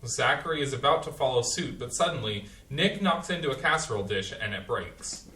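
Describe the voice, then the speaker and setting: A man's voice: mid-range voice, lively intonation, a little fast, pushed and bright. A man reading stage directions aloud in a plain, matter-of-fact way during a staged play reading. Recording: echoey, distant stage sound.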